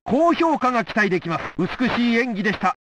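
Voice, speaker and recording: A male actor voicing an excitable sports announcer for a video game, giving brisk, emphatic play-by-play commentary. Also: extremely high pitch, a little hiss or hum, exaggerated voice. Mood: upbeat, keen.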